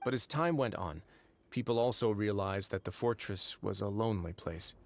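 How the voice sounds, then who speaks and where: An actor playing a composed, wry young duke in a video game, speaking in a deliberate, measured storytelling manner. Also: male voice, fairly low pitch, close to an everyday voice. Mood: calm, measured. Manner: deliberate, dryly wry, unhurried. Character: composed, wry young duke who runs an underwater prison fortress.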